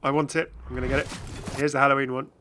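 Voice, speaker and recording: A man's voice: mid-range voice, flat delivery, very fast, background noise. A man giving casual, chatty commentary as host of a gaming video. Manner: chatty, frequently chuckling.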